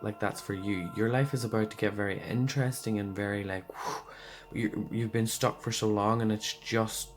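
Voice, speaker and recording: Hoarse man, lowish voice, rambling tarot reader, lots of ums and likes, soft music behind. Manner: rambling and loose, full of fillers like "um" and "like". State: hoarse, losing his voice. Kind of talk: casual pick-a-card tarot reading.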